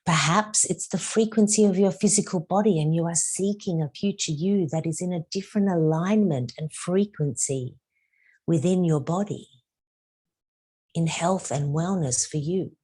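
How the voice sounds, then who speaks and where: A woman leading a guided meditation as a spiritual teacher, speaking in a soft, soothing way with drawn-out phrases and some hushed, whispered words. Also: on the low side, breathy edge.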